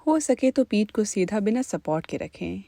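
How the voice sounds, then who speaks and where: A woman leading a guided meditation as an instructor, in a calm, gentle, unhurried manner. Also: mid-range voice, gravelly, dry recording.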